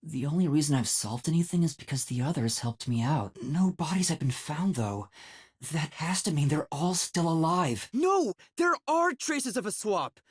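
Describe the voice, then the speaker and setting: Boyish young male detective, timid, earnest, light breathy voice, lively intonation, hesitant, video game dialogue. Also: on the high side, moderate pace. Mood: urgent, insistent. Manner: hesitant, earnest, thinking aloud. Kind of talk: English video game voice acting.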